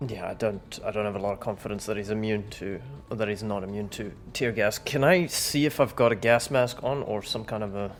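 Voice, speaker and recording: A man's voice: lowish voice, fairly even intonation, a little fast, few pauses, faint music behind. Casual man playing a tabletop game.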